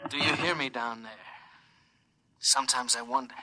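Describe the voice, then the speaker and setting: An American man playing a young astronaut stranded alone in space, musing haltingly in 1950s radio drama style. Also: medium pitch, fairly even intonation, bright, forceful tone. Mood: anxious, lonely. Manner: halting, musing monologue to unseen listeners. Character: lonely stranded astronaut, a young lieutenant, wistful and reflective. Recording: noisy vintage 1950s radio recording.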